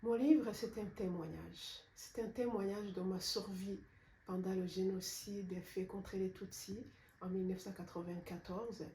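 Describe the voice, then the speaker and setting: A middle-aged woman from Rwanda, an author giving a personal testimonial in a hesitant, measured, sincere way. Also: medium pitch, fairly even intonation, warm tone. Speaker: author presenting her book. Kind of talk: unscripted personal testimonial to camera. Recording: roomy echo, faint background noise.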